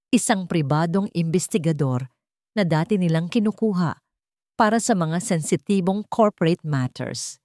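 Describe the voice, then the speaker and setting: A woman's voice: on the low side, mellow, no room sound, clean studio sound. A woman narrating a scripted romance drama in a solemn, dramatic storytelling manner.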